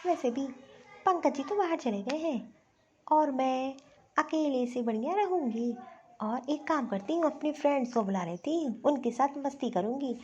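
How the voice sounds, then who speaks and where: A woman's voice: high-pitched, lively intonation, normal speed, mellow. A woman playing a spoiled, scheming young city daughter-in-law in a Hindi family cartoon, with a whiny, bossy manner. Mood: bossy, smug. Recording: dull, lo-fi recording.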